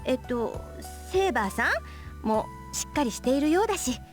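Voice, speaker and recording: A woman's voice: high-pitched, lively intonation, very fast, faint music behind. A woman playing a cheerful, bossy big-sister teacher in a Japanese visual novel. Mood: resigned.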